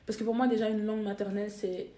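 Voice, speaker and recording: A woman's voice: mid-range voice, level tone, fast, dark tone. A young woman, a guest talking casually and hesitantly, with many fillers and restarts. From Belgium. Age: young adult. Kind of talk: casual interview conversation. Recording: echoey room.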